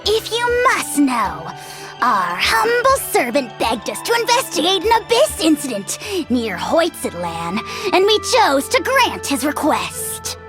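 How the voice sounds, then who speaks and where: A woman's voice: sits high, huge pitch swings, a little slow, over music, heavily acted. A woman voicing a tiny, haughty non-human creature who calls itself a dragon lord, speaking grandly in the royal we and mocking others, in a video game. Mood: scornful. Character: tiny pompous self-crowned dragon lord, haughty and theatrical.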